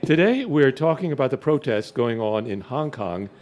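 An American man co-hosting a conversational interview podcast, somewhat halting and prone to repeating his words. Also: medium pitch, sing-song, noisy background. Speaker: podcast co-host. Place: neighborhood bar.

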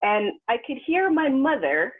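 A woman who grew up in Western Canada, telling stories as a guest performer in a casual interview, laughing often and jumping up high when she quotes people. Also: a little slow, husky. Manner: animated, laughs often, jumps into high pitch for quoted voices. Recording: phone-like narrow sound.

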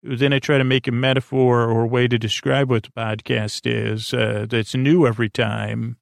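Male bedtime podcast host: creaky, mid-pitched, drowsy and meandering, soothing delivery. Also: little pitch movement, moderate pace, no room sound, studio quality. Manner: meandering, hesitant, stop-start; soothing, sleepy. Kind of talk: rambling bedtime podcast monologue.

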